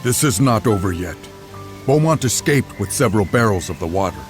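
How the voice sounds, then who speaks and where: Man playing a deep-voiced, wary adventuring companion. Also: expressive, brisk, natural voice. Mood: serious, skeptical. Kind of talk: video game cutscene acting. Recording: clear music under the voice.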